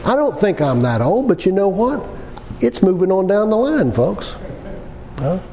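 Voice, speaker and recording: Man, 60s, for sermon delivery: folksy and emphatic, very lively intonation, noisy recording. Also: high-pitched, measured pace. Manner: folksy, conversational; emphatic repetition with rhetorical questions. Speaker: preacher. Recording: long hall reverb with background noise.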